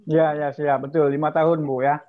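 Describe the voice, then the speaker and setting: Higher-pitched man, slightly muffled, explaining informally. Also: little pitch movement, moderate pace. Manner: repeats words for emphasis. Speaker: organization chairman answering questions. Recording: slightly dull online call.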